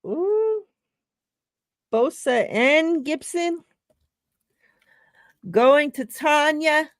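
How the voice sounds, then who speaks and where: Slow-talking woman hosting a live chat. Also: high voice, lively intonation, no room sound, clean studio sound. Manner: laughs often, chatty, drawn-out reading of numbers. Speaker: show host. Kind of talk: casual live-stream chat.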